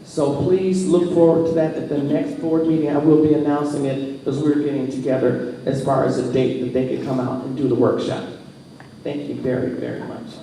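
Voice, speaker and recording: Mayor guy running a board meeting, mellow slightly high voice, echoey room, far from mic. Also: level tone, normal speed, slight background noise. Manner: loose, rambling announcements with restarts. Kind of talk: chairing a public meeting. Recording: echoey hall, picked up from far off.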